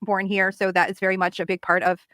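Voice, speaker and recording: A candid woman co-host whose first language is Portuguese. She speaks fast and at an average pitch. The sound is dry and close. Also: monotone, pushed and bright, no room sound. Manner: candid, chatty, sprinkles fillers and laughs. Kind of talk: casual chat.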